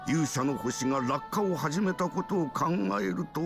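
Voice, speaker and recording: An actor playing a stout, grandfatherly old man in a fantasy game, sage and gruffly scolding, with old-fashioned elderly turns of phrase. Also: on the high side, normal speed. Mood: solemn, pondering. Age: older. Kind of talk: Japanese fantasy game voice acting. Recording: orchestral game music behind.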